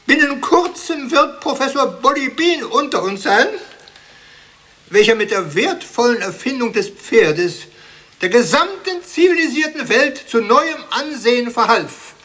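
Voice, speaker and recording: An actor playing a pompous academy president who declaims ceremoniously in a satirical radio play. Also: male voice, extremely high pitch, bright, forceful tone, a little hiss or hum, full character voice. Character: pompous academy president addressing learned colleagues.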